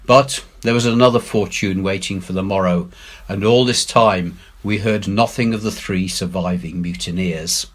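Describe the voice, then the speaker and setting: Male storybook narrator, fairly deep and husky, expressive, measured delivery with emphatic phrasing. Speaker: head teacher reading aloud.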